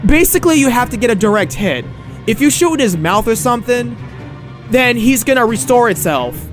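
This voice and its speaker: A man hosting a casual game review podcast, rambling and tripping over his words, slightly hoarse from losing his voice. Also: extremely high pitch, expressive, fairly quick, faint music behind. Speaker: podcast host.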